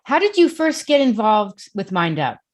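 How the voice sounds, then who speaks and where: A woman's voice: mid-range voice, very animated, moderate pace. A woman hosting an interview, asking questions and encouraging her guest with short replies. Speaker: interviewer, host. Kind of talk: interview conversation.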